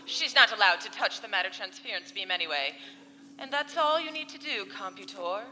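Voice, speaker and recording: Woman acting a scheming space villainess, biting, very bright voice, pulp radio comedy, reverberant hall. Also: medium pitch, animated, brisk. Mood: smooth persuasion. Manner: theatrical, grandiose, biting. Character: scheming female space villainess, haughty, exasperated arch-nemesis. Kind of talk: live comedic sci-fi radio play in 1940s serial style. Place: convention hall stage before live audience. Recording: reverberant live hall, faint music.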